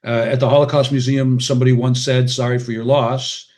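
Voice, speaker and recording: A man's voice: medium pitch, level tone, a little fast, a little mellow. An older man with an American accent, a podcast guest reminiscing casually and often quoting people in short anecdotes. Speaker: podcast guest, retired radiologist and author.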